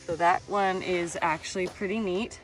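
A woman's voice: fairly low pitch, steady tone, moderate pace, faint music behind, low-quality recording. A woman in her thirties with an American accent, talking in an easygoing, colloquial way in a casual outdoor vlog. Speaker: rock-hunting vlogger. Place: outdoors on a pebbly beach.

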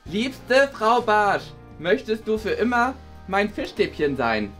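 A man's voice: sits high, big pitch swings, slow pace, fairly bright tone, soft background music, exaggerated voice. A man playing a clueless, cheeky, playful schoolboy in a kids' comedy sketch. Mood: earnest, silly. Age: young adult. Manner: naive, playful. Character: goofy, clueless, cheeky schoolboy, always angling for food. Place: small classroom.

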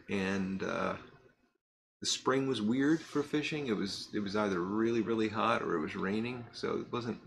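A middle-aged man chatting casually to camera in a cluttered workshop, rambling with fillers and pausing to puff on a pipe. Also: lowish voice, vocal fry, a little hiss or hum. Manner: relaxed and rambling, many fillers and restarts; pauses to puff on a pipe while talking. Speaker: pipe-smoking vlogger. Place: cluttered workshop room, indoors.

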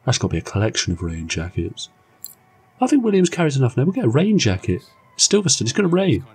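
A young man with a cold, croaky, giving excitable, jokey commentary over his racing game. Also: medium pitch, quick pace, faint music behind. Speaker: gaming channel host. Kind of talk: casual gameplay commentary.

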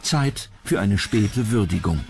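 A man's voice: fairly low pitch, expressive, measured pace, gravelly. A man reading scripted narration as the narrator of a documentary. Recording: music under the voice. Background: background music.

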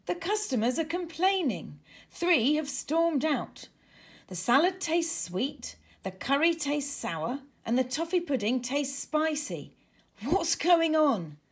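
A woman's voice: high voice, big pitch swings, takes it slowly, pitched above the performer's own voice, exaggerated voice. A woman voicing an exasperated male waiter, urgent and emphatic, in a children's storybook reading. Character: exasperated waiter, a man, in a busy restaurant.

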